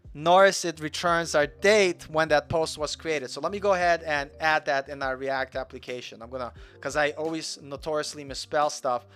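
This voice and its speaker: A man's voice: on the high side, fairly bright tone, clean tone. A young man from Russia giving a casual, rambling live coding walkthrough as the show's host, chatty and self-deprecating. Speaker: coding tutor.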